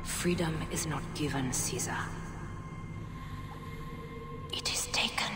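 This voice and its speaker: A woman's voice: sits low, normal speed, natural voice. An actress playing a fierce, solemn Egyptian woman assassin in a dramatic video game cutscene, intense and given to menacing whispers. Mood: solemn defiance. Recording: long hall reverb, loud music behind.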